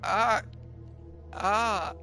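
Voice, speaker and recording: A man's voice: high voice, fairly even intonation, very slow, music in the background, voice pushed up, full character voice. A man voicing a terrified young man who stammers, whimpers and begs for his life in a horror story.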